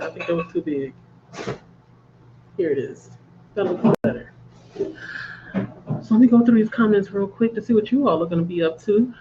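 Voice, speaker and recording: A woman's voice: on the low side, darkish tone. Stuffy-nosed woman hosting a reading stream from home, chatty, dull lo-fi sound w/ a little hiss. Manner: chatty, laughs at her own jokes. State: congested from a cold. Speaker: livestream host of a reading sprint. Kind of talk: casual chat.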